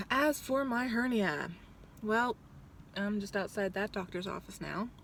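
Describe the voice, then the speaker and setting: A woman's voice: medium pitch, slow pace, creaky, a bit bright, slight background noise. A woman in her thirties chatting casually in a vlog, blunt and swearing freely, with lots of ums, recorded outside a doctor's office. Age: 30s. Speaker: vlogger. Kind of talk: casual rambling vlog chat.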